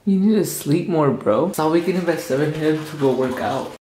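A man's voice: on the high side, fairly quick. A young man hosting a casual fitness vlog, chatting in a playful, joking way during a workout. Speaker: vlog host. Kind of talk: casual chat while working out. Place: gym and outdoor court.

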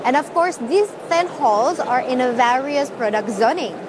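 A woman's voice: high-pitched, lively intonation, normal speed, heavy background noise. A young woman giving an on-location business news report from a busy trade exhibition hall.

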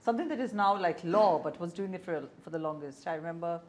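An older woman from India with an Indian English accent, hosting an interview with brisk, direct questions. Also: fairly low pitch. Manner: brisk questions, short affirmations. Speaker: interviewer host. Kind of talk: conversational interview. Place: small warm-lit room.

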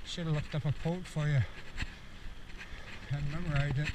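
Male, 60, slightly high voice, candid meandering vlogger thinking aloud while cycling, clear wind and road noise. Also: fairly even intonation, normal speed. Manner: candid, meandering, self-reflective. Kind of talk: rambling reflective monologue. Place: outdoors, cycling on a rural road. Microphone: action camera on the bike or rider.

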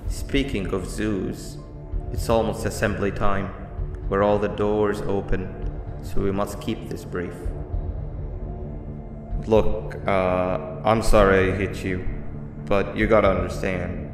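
A man playing a calm, menacing monster mascot who poses as a school principal in a video game, speaking in a measured, formal way. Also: deep voice. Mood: calm, confiding. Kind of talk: scripted video game voice lines. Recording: echoey hall reverb with music behind.

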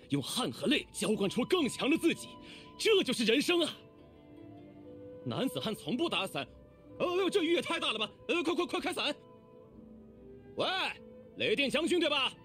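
A man's voice: very high voice, very animated, moderate pace, long pauses, fairly bright tone, faint music behind, exaggerated voice. A man voicing a swaggering, hot-blooded young oni gang boss in a video game, boastful, theatrical and rowdy. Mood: fired up. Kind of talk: animated video game character voice lines.

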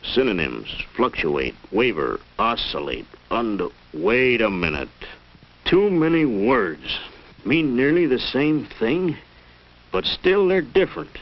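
A man's voice: mid-range voice, big pitch swings, slow pace, full character voice. An actor playing a flustered, plain-spoken middle-aged American family man in a 1940s educational film, with a muffled period soundtrack. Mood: musing.

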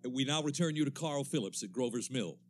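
An actor playing a composed, formal studio announcer in a 1930s-style radio drama, measured with slight hesitations. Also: male voice, medium pitch, animated, loud music behind the voice, close to an everyday voice. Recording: faint hum and noise.

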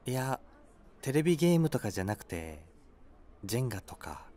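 A man's voice: mid-range voice, big pitch swings, brisk, warm tone, close to an everyday voice. An actor playing a vague, socially awkward man on a date in a comedy audio drama, hesitant and noncommittal.

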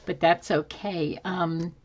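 A woman hosting a casual crafting video at her craft table, a bit hoarse, thinking aloud as she works with many ums. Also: on the low side, fairly even intonation, slow pace, a little mellow, highs cut off. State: slightly hoarse from dry throat. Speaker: craft channel host. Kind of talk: casual crafting tutorial chat. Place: home craft table, indoors.

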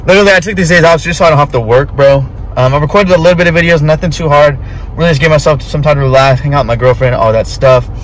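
A man's voice: fairly high pitch, racing, a little hiss or hum, low-quality recording. A young man in his twenties talking casually to a phone held at arm's length in his car, laid-back and full of slang, calling the viewer bro and swearing offhandedly. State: tired, feeling off. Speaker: vlogger. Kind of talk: casual vlog talk to camera. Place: inside a parked car.

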